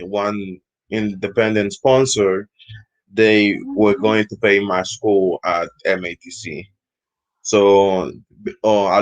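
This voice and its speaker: Young man with low, relaxed speech. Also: low-quality recording. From Equatorial Guinea. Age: young adult. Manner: relaxed, rambling with fillers. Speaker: student guest. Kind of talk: casual interview answers.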